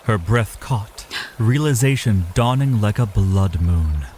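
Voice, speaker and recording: Low-voiced male fantasy narrator. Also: expressive, takes it slowly, a little mellow, slight background noise. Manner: literary, solemn storytelling. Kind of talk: scripted dramatic fantasy narration.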